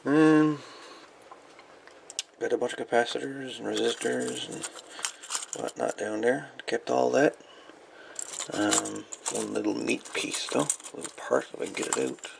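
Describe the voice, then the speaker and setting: A man's voice: mid-range voice, measured pace, vocal fry, long pauses, noisy background. A man hosting a hobby video, chatting casually and unscripted in a folksy way as he shows things off. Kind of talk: casual show-and-tell of salvaged radio parts.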